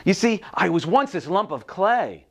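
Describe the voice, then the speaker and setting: A man's voice: sits high, sing-song, normal speed, a bit bright, voice pushed up, exaggerated voice. A man voicing a fragile talking teacup from a story, panicky and melodramatic, begging over and over. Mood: anguished. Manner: breathless repetitive begging, melodramatic.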